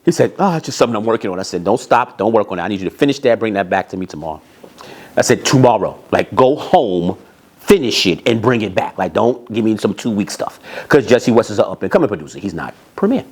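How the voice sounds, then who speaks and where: Animated male storyteller with swooping pitch. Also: fast. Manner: emphatic, repeats phrases, acts out dialogue. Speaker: storyteller, music industry executive.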